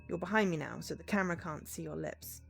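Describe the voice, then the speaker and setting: A defiant young woman held captive in a cyberpunk game, voiced by a woman. She is blunt, suspicious and fairly low in pitch, with faint music behind her. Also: quick pace, gravelly, a bit bright. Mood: guarded.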